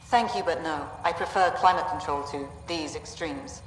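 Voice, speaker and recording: A woman's voice: low voice, normal speed, soft background music, close to an everyday voice. An actress playing a brusque, pragmatic female colony director in a video game, blunt and businesslike. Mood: blunt, wary. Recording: echoey hall, distant, faint background noise.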